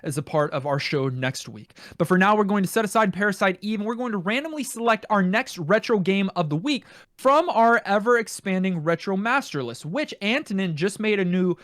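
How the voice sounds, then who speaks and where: Man, podcast host, higher-pitched voice, casual delivery, reading comments aloud, very dry close sound. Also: expressive, brisk, fairly bright tone, dry recording.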